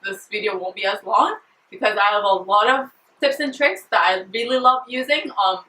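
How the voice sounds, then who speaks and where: A woman's voice: medium pitch, brisk, sharp tone, echoey room, far from the mic, low-quality recording. A woman in her twenties, a beauty vlogger and makeup artist, chatting casually and jokingly while answering viewer questions at home. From Afghan and Syrian family. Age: 20s. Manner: giggly, jokey, chatty with many fillers. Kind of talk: casual Q&A chat to camera.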